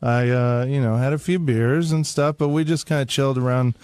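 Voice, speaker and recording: A man's voice: mid-range voice, steady tone, fairly quick, a little mellow, clean tone, no room sound. Casual man chatting, close dry sound. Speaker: show staff member and drummer being interviewed. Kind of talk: casual chat.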